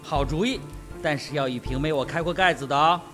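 An actor playing a flippant army captain with sardonic humour in a radio drama. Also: male voice, on the high side, a little fast, sharp tone, faint music behind.

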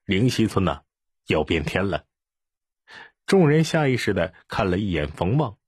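A man's voice: lowish voice, darkish tone, breathy edge, dry recording, clean studio sound. A man reading an audiobook as narrator in a scripted, dramatic storytelling style.

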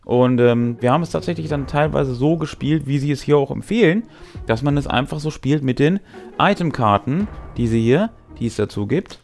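A man's voice: medium pitch, animated, normal speed, vocal fry, darkish tone. A man in his forties talking casually to camera as host of a tabletop gaming show, in a laid-back, wry, conversational way. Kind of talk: casual talk to camera about a tabletop role-playing game. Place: small brick-walled game room indoors. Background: background music.

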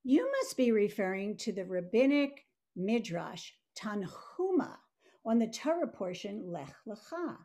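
A woman's voice: medium pitch, big pitch swings, unhurried, warm tone. A woman in her sixties reading scripted, scholarly explanatory commentary on a video call. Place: indoor home room. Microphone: video call, computer mic.

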